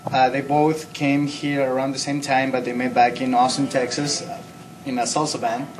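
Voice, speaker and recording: A man's voice: mid-range voice, flat delivery, moderate pace. A man from Ecuador, a band singer, telling how his group started in a casual interview. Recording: distant mic, faint noise.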